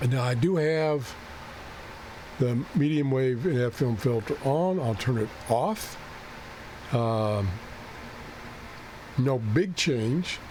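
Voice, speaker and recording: Slow-talking hoarse man thinking aloud. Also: mid-range voice, animated, darkish tone, slight background noise, poor audio. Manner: thinking aloud, rambling, self-correcting. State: losing his voice, keeps clearing his throat. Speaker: ham radio video host. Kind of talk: unscripted screen-share walkthrough.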